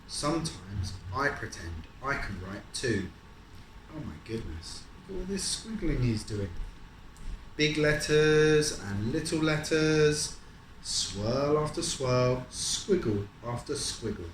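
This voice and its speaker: Animated storybook reader in a roomy space. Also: medium pitch, takes it slowly. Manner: encouraging, asks guiding questions and confirms answers. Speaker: adult reading a picture book to a young child. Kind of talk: storybook read-aloud mixed with casual questions to a child. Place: echoey room at home. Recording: roomy echo, some background noise.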